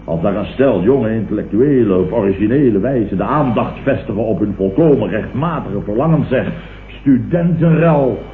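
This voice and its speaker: Blustering, self-important Dutch boss, played by a male actor, very lively intonation, vintage radio comedy, tinny sound. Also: lowish voice, moderate pace, close to an everyday voice. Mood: indignant, grandiose. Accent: Dutch, from the Netherlands. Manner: pompous, indignant, declamatory, with repeated sputtering interjections. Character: blustering, pompous middle-aged businessman, opportunistically turned leftist. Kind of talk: 1960s-70s radio comedy acting. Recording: tinny, echoey, hissy old radio recording.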